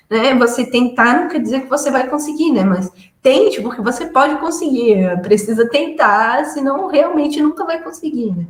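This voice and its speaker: A young woman, reflecting conversationally as an interview guest, often tagging phrases with filler words. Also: fairly high pitch. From Florianópolis, southern Brazil. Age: young adult. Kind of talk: casual reflective interview answers. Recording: roomy, echoey room sound.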